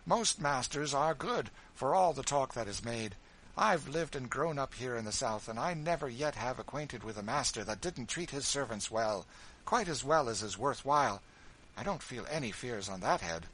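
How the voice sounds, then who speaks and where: A man's voice: lively intonation, moderate pace, low-quality recording. A man voicing a petulant, self-pitying wealthy widow, speaking sharply in a raised voice. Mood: haughty. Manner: complaining, sharp, high and raised.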